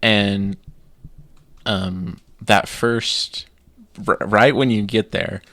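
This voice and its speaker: A man co-hosting a casual gaming podcast, telling his gaming stories in an animated way and acting out his own reactions. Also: fairly low pitch, very slow, no room sound. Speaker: podcast co-host.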